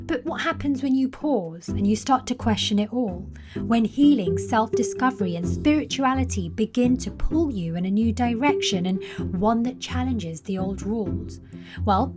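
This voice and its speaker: A woman hosting a podcast, reading a scripted intro and then chatting with her guest in an effusive, encouraging way. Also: on the high side. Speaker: podcast host.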